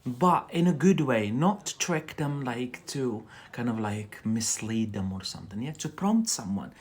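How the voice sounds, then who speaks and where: An English teacher hosting a casual live lesson, speaking haltingly and repeating words as drills while chatting with viewers. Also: mid-range voice, expressive. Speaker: English teacher, livestream host.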